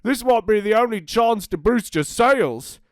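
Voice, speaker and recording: An earnest, fussy park ranger from a children's story, apologetic and a bit hesitant, as a narrator puts him on. Pitched above the reader's own voice and a touch louder, with a dry, close sound. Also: lively intonation, normal speed, dry recording, close to an everyday voice.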